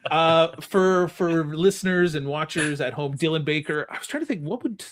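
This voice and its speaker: A man's voice: fairly high pitch, moderate pace, no echo, clean studio sound. A man hosting a movie trivia game in casual chat, often breaking off and restarting his sentences as he goes.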